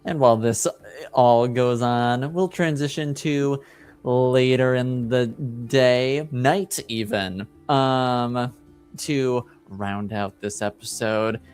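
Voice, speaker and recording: Slow-paced man running a tabletop game. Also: medium pitch, fairly even intonation, a little mellow, clean tone, soft background music. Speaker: game master. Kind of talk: improvised narration of a tabletop roleplaying game.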